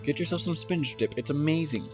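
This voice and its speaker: A man's voice: a little high, quick pace, music in the background. A man in casual chat, playful and teasing, swearing now and then.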